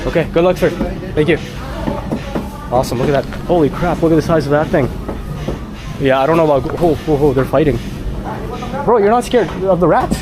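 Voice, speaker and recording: A man's voice: a little high, lively intonation, a little fast, long pauses, music in the background, low-quality recording. A Canadian man walking through a city market outdoors, chatting upbeat to his viewers as a travel vlogger. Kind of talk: handheld travel vlog narration.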